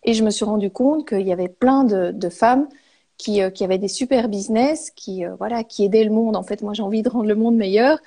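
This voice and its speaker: A woman's voice: mid-range voice, a little fast, dark tone. A woman in her thirties from Belgium, answering interview questions hesitantly with many fillers. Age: 30s. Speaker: guest, copywriter.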